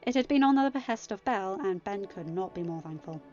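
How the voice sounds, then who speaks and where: A woman's voice: medium pitch, expressive, fairly quick, darkish tone, faint music behind. A woman reading fan fiction aloud as scripted audiobook narration. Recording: slightly dull, band-limited home recording.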